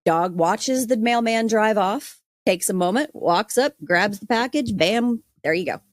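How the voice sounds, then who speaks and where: Animated woman storyteller, close dry sound. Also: medium pitch, a little slow, no echo. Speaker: podcast panelist. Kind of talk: casual chatty storytelling.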